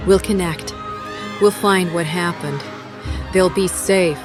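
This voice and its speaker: A woman's voice: on the low side, fairly even intonation, takes it slowly. A woman playing a bold, playful, loyal young woman in an audio drama. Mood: reassuring. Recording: music and crowd behind.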